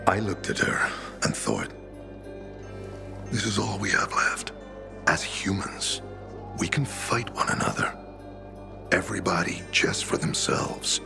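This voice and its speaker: An actor playing a weary older veteran leader of survivors in a video game cutscene, grave and reflective, with a rough, gravelly voice. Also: male voice, low-pitched, very animated, unhurried, pauses often, over music, exaggerated voice. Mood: solemn, reflective.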